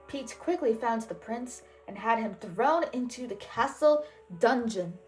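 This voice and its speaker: Slow, higher-voiced woman reading a storybook. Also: animated, a little mellow, faint music behind, poor audio. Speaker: storybook reader and channel host. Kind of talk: storybook read-aloud narration.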